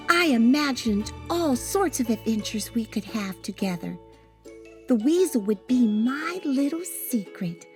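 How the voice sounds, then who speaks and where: Lady reading a kids book out loud, slow n very expressive, little tinkly music behind. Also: fairly high pitch. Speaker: storybook reader. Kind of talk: expressive scripted read-aloud of a first-person children's story. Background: tinkling children's music.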